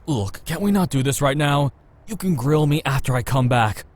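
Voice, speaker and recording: Visual novel role, male actor: protective young man on the run, mid-pitched voice, self-assured, reassuring but evasive. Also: expressive, normal speed, natural voice. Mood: impatient, reassuring.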